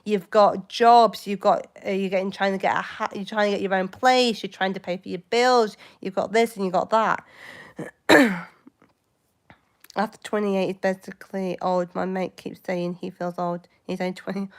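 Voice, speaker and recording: A young woman in her late twenties talks to her livestream viewers in a loose, meandering way. She hesitates often and hops from topic to topic. Her voice sounds smooth and clear, at a middling pitch for a woman. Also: steady tone, moderate pace. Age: 20s. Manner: rambling, hesitant, jumps between topics. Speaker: livestream host. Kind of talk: casual live chat with viewers.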